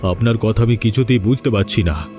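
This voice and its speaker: A man's voice: on the low side, fairly quick, lightly acted. Anxious village man in a cartoon male actor. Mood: distressed. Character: anxious, scheming village man seeking advice. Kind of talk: cartoon fairy-tale voice acting. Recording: background music under dialogue.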